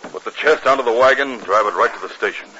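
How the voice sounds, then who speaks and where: An actor playing a commanding, impatient, scheming outlaw gang leader in a 1950s radio western. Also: male voice, medium pitch, quick pace, crowd noise, close to an everyday voice. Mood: giving orders. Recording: noisy, muffled 1950s radio recording with clicks.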